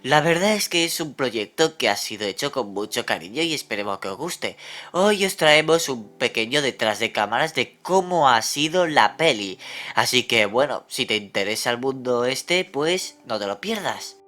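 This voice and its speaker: A man's voice: medium pitch, lively intonation, normal speed, pushed and bright. A man with a Castilian Spanish accent, hosting a casual video introduction. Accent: Castilian Spanish from Spain. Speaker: video host.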